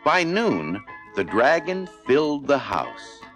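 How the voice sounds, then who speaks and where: A man's voice: medium pitch, huge pitch swings, slow pace. A man narrating a children's read-along storybook in a scripted style. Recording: music playing behind narration.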